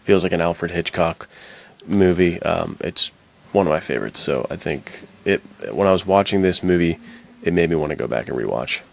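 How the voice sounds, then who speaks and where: A man's voice: low-pitched, normal speed, gravelly. A man co-hosting a podcast, chatting casually about films and books in a slangy, emphatic and enthusiastic way. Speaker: podcast co-host.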